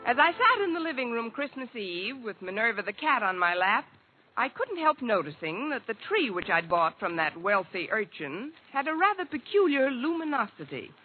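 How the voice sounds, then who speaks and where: A woman's voice: on the high side, sing-song, moderate pace, narrow, tinny audio, faint music behind. An actress playing a wry, wisecracking single woman in a mid-century radio sitcom, on an old recording. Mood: musing narration. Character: wry single woman alone with her cat. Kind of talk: 1940s-50s radio sitcom acting and narration. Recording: noisy, band-limited old radio recording.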